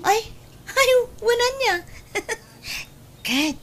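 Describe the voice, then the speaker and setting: Doubtful young Ilocano daughter, bright, lively pitch swings, noisy radio drama recording. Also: female voice, drawn-out words, heavily acted. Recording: clear background noise.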